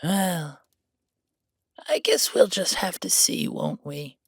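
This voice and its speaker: A man's voice: high-pitched, lively intonation, slow pace, vocal fry, husky, no room sound, clean studio sound, voice pushed up, exaggerated voice. A man playing a smug, condescending noble envoy with a haughty, mock-polite and theatrical manner in an audio drama. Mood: slyly polite.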